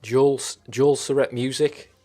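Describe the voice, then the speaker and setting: A husky, slightly breathy man with a Teesside accent hosts a live stream, rambling through viewer comments with loose, sweary asides. His pitch sits mid-range, and faint music plays underneath. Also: fairly even intonation, measured pace, dark tone. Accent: Teesside English. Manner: rambling and sweary, with frequent restarts.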